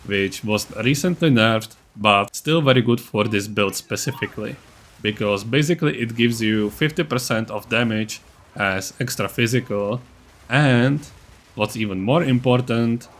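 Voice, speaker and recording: A man's voice: medium pitch, measured pace, a bit bright. A man giving scripted, explanatory narration for a video game build guide in a methodical way.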